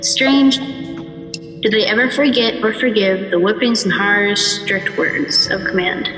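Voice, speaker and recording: A woman's voice: medium pitch, slow pace, fairly bright tone, faint music behind, low-quality recording. A woman playing an anxious, hesitant housewife and mother in an amateur audio drama. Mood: brooding.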